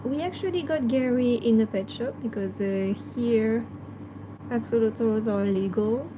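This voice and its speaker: French-accented woman hosting a pet livestream, slightly high voice, slow and hesitant, background noise and passing cars. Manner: hesitant, frequent fillers, talks softly to her pet. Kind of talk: casual livestream chat answering viewers.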